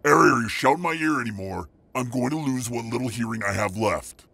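A man playing a wounded, stubborn dragon-shifter henchman in an audio roleplay, gravelly, strained and cheekily sarcastic. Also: medium pitch, fairly quick, bright, forceful tone, dry recording, exaggerated voice. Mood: sarcastic, weary.

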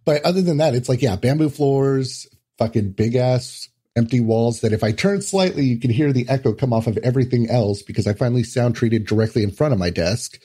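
A man's voice: mid-range voice, brisk, few pauses, warm tone, slightly rough. A man speaking as a podcast guest in offhand, casual chat with some swearing.

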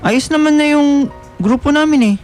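A man's voice: extremely high pitch, moderate pace, soft background music. An actor playing an easygoing teenage boy in a radio drama, casual, a little evasive and exasperated. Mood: hesitant.

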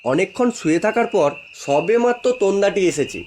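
A man narrating a scripted horror story in a measured, literary, dramatic manner. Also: high-pitched, lively intonation, a little mellow, slight background noise.

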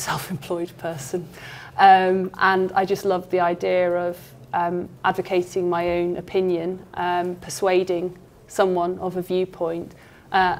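Young woman, lower pitch, earnest encouraging panel speaker. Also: a little mellow. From Lake District, England. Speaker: barrister. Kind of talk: panel conversation. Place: seminar room with audience.

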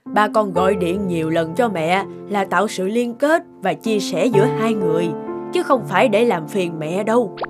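A woman plays an older mother in an animated cartoon, devoted and shrewd. She talks in a middle register, chatty and gently admonishing. Music plays loudly behind the voice. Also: mid-range voice, normal speed, natural voice. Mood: tender, content. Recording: loud background music over voice.

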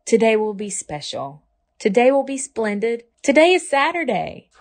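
A woman voicing a devoted storybook mother who reassures and frets, in emphatic, measured phrases. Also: on the high side, sing-song, pitched above the performer's own voice. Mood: reassuring.

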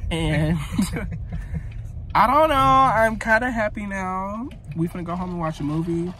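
A man's voice: high voice, slow pace, pushed and bright. A young man chatting casually to his phone camera from inside a car, laid-back and self-mocking. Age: young adult. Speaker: vlogger. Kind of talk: casual vlog chat. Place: parked car interior. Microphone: phone held at arm's length. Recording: noisy car interior.

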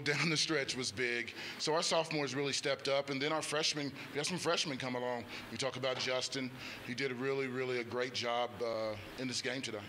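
A middle-aged man speaks as a basketball coach in a casual post-game interview. His voice is bright at a medium pitch, on a handheld mic with faint noise behind it. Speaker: college basketball head coach. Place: indoors before a press backdrop. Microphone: handheld microphone.

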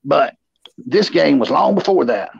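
A man in his forties with a gravelly voice, telling rambling, folksy, salty anecdotes as a show co-host. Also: on the high side, lively intonation, unhurried, no room sound. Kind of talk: casual anecdotal storytelling. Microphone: remote livestream call. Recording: dull, muffled online stream audio.

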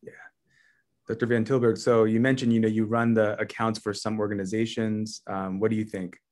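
A man in his thirties moderating a medical webinar over a video call, relaxed and full of "you know.". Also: fairly low pitch, a little fast. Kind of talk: casual panel moderation. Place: office at home or work, indoors.

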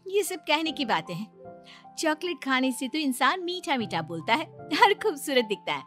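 A woman's voice: high voice, sing-song, normal speed, fairly bright tone, faint music behind. A woman playing a sweet, coy young daughter-in-law in a moral-story cartoon. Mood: smug, coy.

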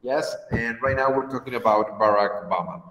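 A man's voice: medium pitch, a little fast, a bit bright, reverberant, in a large hall, poor audio. A Mexican man in his thirties with a Mexican accent, an English teacher giving an online class with patient encouragement. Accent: Mexican Spanish. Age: 30s. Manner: patient, encouraging, switches to Spanish to explain. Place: home room on a video call. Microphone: video call.

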